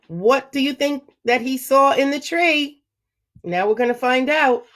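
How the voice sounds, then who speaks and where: A woman reads a picture book aloud to young children at home in a slow, expressive storytelling manner. Her voice sits a little high, and she takes her time over every phrase. Now and then she stops to ask her young listeners what they think.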